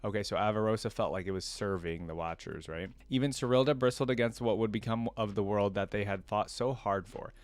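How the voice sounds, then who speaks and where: A man's voice: lowish voice, brisk, few pauses, no echo. A man hosting a gaming lore reaction series, switching between reading aloud and informal commentary as he thinks aloud. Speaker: host of a video game lore reaction series.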